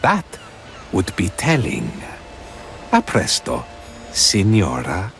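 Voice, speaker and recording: A sly gentleman pirate in a video game. He speaks slowly and theatrically, his pitch swinging widely in smug, cryptic teasing. Faint music sits behind him. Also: huge pitch swings, gravelly, exaggerated voice.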